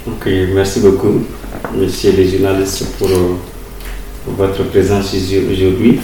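A man answering interview questions in a formal, deliberate way, repeating words as he explains. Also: low-pitched, level tone, dark tone. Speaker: interviewee, university teacher of German and English. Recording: roomy distant recording with faint ambient noise.

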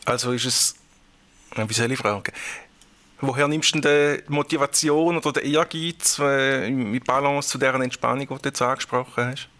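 A male interviewer with a bright, forward tone. His pitch sits in the ordinary middle range, and he asks his questions in a loose, conversational way that still pushes for answers. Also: steady tone, normal speed. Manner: probing, conversational.

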